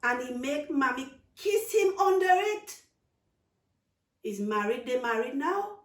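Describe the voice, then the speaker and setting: Storybook child, played by a woman: naive, sulky little girl, halting, expressive pitch, roomy recording. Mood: earnest, confused. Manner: halting, childlike, dialect grammar. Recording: roomy, distant microphone.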